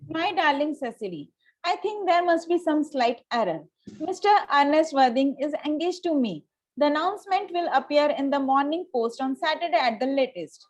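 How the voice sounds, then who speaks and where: A haughty young society lady from a Victorian comedy, played by a woman at a table read. Her voice sits very high. She speaks formally and with cool politeness, tripping now and then on a line. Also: animated, normal speed, a little mellow. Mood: coolly indignant. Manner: formal, stumbles over lines. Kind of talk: amateur reading of a Victorian comedy play.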